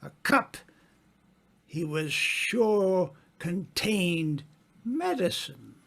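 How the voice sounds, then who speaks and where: A man acting in an old-time radio mystery as a suave, smug gentleman sleuth, with a theatrical, accusing delivery. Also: high-pitched, very animated, very slow, creaky, exaggerated voice. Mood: relentless, triumphant.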